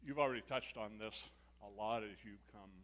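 A man's voice: lowish voice, moderate pace, fairly bright tone. A man interviewing a program student, asking short prompting questions.

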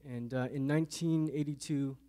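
Soft-spoken man giving a halting testimony. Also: mid-range voice, steady tone. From Canada, born in a Thai refugee camp to Lao parents. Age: 30s. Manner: halting, repeats words, soft-spoken on hard memories. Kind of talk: earnest faith testimony interview.

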